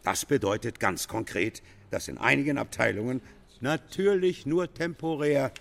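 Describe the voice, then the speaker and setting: An actor playing a domineering male company boss, speaking in formal management jargon with a creaky voice. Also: mid-range voice, moderate pace. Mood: formal, measured. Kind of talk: staged radio play speech.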